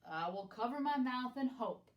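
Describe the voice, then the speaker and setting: A woman's voice: on the high side, expressive, normal speed, muffled recording, voice pushed up, natural voice. Earnest, resolute man , voiced by a woman reader. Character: determined, brave enslaved man escaping to freedom.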